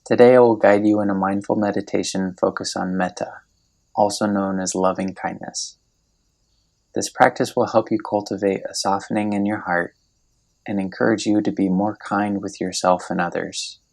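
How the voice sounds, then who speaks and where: Deep-voiced man guiding meditation, creaky tone. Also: flat delivery. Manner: gentle, unhurried, soothing instruction with long pauses. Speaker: meditation guide. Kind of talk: guided meditation, scripted narration.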